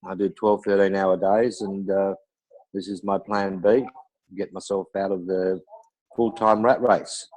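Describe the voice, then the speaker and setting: A man with a lowish voice introduces himself on a video call. He is friendly and matter-of-fact. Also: flat delivery, a little slow, darkish tone, poor audio.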